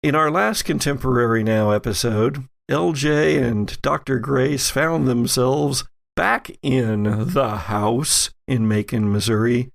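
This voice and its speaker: A man speaks slowly with a creaky, gravelly edge in a medium-pitched voice. He is hosting a tabletop game and recaps the story casually, pausing to hunt for the right word. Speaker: podcast host and game master.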